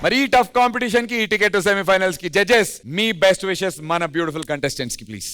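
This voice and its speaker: A man with a Telugu Indian accent hosting a TV dance competition, presenting with high energy and emphatic, drawn-out announcing. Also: pushed and bright, faint music behind.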